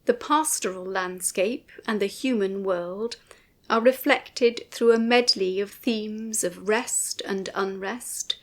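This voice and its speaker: Slow, measured woman narrator. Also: medium pitch. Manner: measured, formal, precise. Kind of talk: scripted documentary commentary, read aloud.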